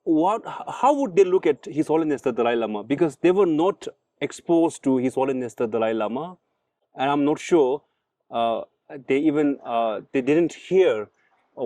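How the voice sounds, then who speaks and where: A man hosting a podcast puts careful, polite questions to his guest, building them slowly with small pauses. His tone is mellow and warm rather than bright. The sound is dry and close to the mic. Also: medium pitch, no echo. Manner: polite, frequent soft backchannel murmurs. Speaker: podcast host. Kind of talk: interview questions.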